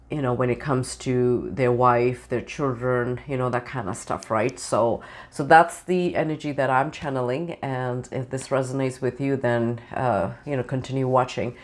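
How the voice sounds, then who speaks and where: Tarot reader, just over the flu and sounding it, middle pitch, talks in loose, filler-heavy runs. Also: fairly even intonation, a little slow. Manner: meandering, with many "you know" and "um" fillers. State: voice changed, recovering from flu. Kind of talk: channeled tarot reading to camera.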